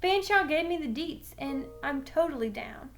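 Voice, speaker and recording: A woman acts out a cocky eighth-grade football jock from a storybook, high-voiced and pushy. He brags about himself as if he were a legend. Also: lively intonation, normal speed, a bit bright. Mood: cocky, eager. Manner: boastful, talks of himself in third person. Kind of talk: storybook character voice.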